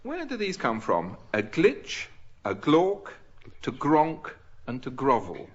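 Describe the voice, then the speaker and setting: A man's voice: mid-range voice, wildly swooping pitch, takes it slowly, husky. A middle-aged British man chairing a radio panel game, chatting and bantering with the contestants. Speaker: quiz show chairman. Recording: dull old radio recording.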